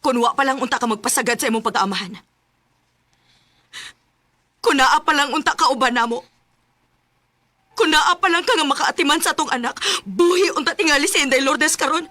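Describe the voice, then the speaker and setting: A woman's voice: sits high, fairly quick, long pauses, bright, forceful tone, no echo. An actress playing an emotional, wronged young working mother with a Cebuano accent in a sentimental radio drama. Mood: bitter, hurt.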